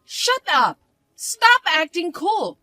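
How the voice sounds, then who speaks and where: A woman voicing a spoiled young stepsister who taunts and bosses in a shrill, mocking way. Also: huge pitch swings, slow, pushed and bright, no echo, soft background music, heavily acted. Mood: taunting.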